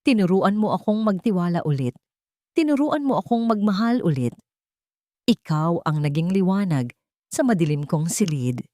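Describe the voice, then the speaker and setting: A woman's voice: lowish voice, big pitch swings, moderate pace, warm tone, no room sound, clean studio sound. A woman voicing an earnest, loving businessman in a romance story, serious and emotional. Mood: serious, tender. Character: earnest, loving young businessman proposing marriage.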